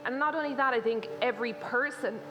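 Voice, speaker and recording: A woman's voice: a little high, fast, over music. An Irish woman presenting a cooking demonstration to a live audience, chatty, with many fillers and laughs. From Ireland. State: slight cough. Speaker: cookbook co-author presenting a cooking demo. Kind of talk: live demo talk to an audience. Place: festival stage in a large hall. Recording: reverberant hall, faint noise.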